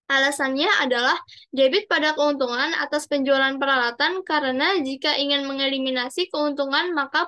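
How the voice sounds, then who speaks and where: A young woman gives a student presentation in a high-pitched, clearly bright voice. She reads worked answers in a formal way, now and then stumbling on a word and correcting herself. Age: young adult. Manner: formal, read-aloud delivery with small stumbles. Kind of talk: reading out worked exercise answers in a class presentation.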